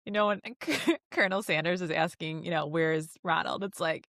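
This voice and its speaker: A woman's voice: lowish voice, steady tone, normal speed, fairly bright tone, dry recording, studio quality. A woman co-hosting a podcast, joking and riffing in a casual, playful way. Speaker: podcast co-host. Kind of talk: casual comedy chat, riffing.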